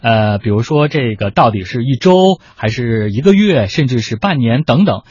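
A man hosting a radio panel discussion, posing questions in a measured, formal way with a husky voice. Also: mid-range voice, very animated, darkish tone, dry recording. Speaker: host. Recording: dull, muffled audio.

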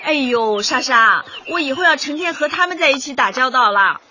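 A high-voiced young woman, chatty and easily flustered. Her delivery swoops expressively and is full of exclamations, in a muffled radio drama recording over a busy background. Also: animated, moderate pace, pushed and bright, slight background noise, heavily acted. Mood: chatty, wry. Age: young adult. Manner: exclamatory, effusive, full of sighs and interjections. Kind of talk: radio drama acting, phone monologue.

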